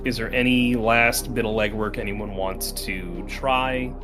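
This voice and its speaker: A man's voice: fairly low pitch, steady tone, a little slow, music in the background. A man running a tabletop game as game master in casual, joking, sweary banter.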